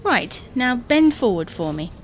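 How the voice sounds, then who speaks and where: An actress playing a bossy, exasperated, teasing wife in a domestic comedy audio drama, brisk and dismissive. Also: female voice, on the high side, big pitch swings, slight background noise. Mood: coaxing, impatient.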